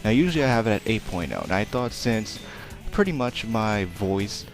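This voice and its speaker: Quiet low-voiced young man rambling. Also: animated, normal speed. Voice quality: naturally quiet voice. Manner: rambling, chatty, self-deprecating, many fillers. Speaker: let's play commentator. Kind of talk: casual gameplay commentary. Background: game music.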